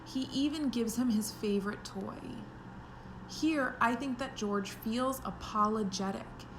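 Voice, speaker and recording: A woman's voice: fairly high pitch, a little slow, background noise. A woman teaching pre-kindergarten children, reading a story aloud expressively and explaining it in simple words.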